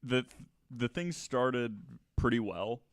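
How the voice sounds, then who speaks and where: A man hosting a podcast, telling a story casually and haltingly, with conversational asides. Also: medium pitch, expressive, no room sound.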